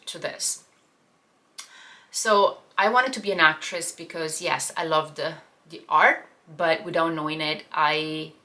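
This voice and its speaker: A woman in her thirties with a clear Italian accent, talking openly to camera. Her voice sits slightly low for a woman but sounds bright and forceful. She pauses, restarts and reaches for English words, speaking with quiet conviction. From Italy. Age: 30s. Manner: earnest, open, with many fillers and restarts. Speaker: life and business coach. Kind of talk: personal story told to camera.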